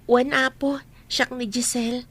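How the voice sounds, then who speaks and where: A woman's voice: a little high, flat delivery, a little slow, fairly bright tone, no room sound, soft background music. A woman playing a polite, meek young country housemaid in an Ilocano radio drama, modest and deferential. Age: young adult.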